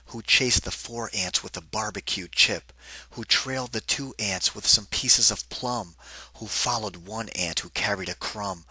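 A man giving an interactive children's read-aloud in a deliberate, singsong rhythm, like a teacher with a picture book. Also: on the low side, pushed and bright, breathy edge, dry recording, poor audio.

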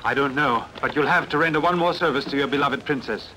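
A man's voice: mid-range voice, fast talker, bright, forceful tone, slight background noise, close to an everyday voice, rough recording. A man playing a formal, calculating courtly schemer in a dubbed adventure film. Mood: grave, urgent.

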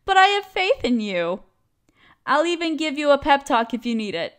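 High-pitched girlish young barista acted by a woman, cute, friendly, chatty, teasing, expressive, casual audio roleplay. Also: moderate pace. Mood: fond, teasing. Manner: expressive, playful complaining. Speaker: voice actress. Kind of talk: scripted one-sided audio roleplay, casual chat.

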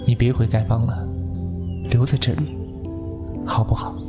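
A man playing a composed, courteous martial-arts lord in an audio drama, measured and scheming. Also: medium pitch, fairly even intonation, close to an everyday voice. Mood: devoted. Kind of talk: Mandarin audio drama acting. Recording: background music under voice.

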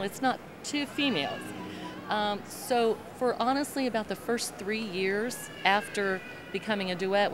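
A middle-aged woman gospel singer with a low voice, talking in an upbeat, chatty way into a handheld mic at a convention booth. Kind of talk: interview answers. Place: convention hall interview booth. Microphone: handheld mic held by host. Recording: noisy convention hall.

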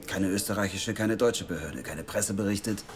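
A man's voice: lowish voice, normal speed, slight background noise, lightly acted. An actor playing an earnest, probing male journalist in an audio drama. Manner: serious and questioning, defensive when teased.

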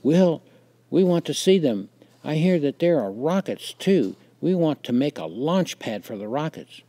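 A man's voice: on the high side, big pitch swings, measured pace, dark tone, no room sound, pitched above the performer's own voice. A man voicing a pushy older boy in a story, insistently coaxing a younger child. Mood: pushy, eager.

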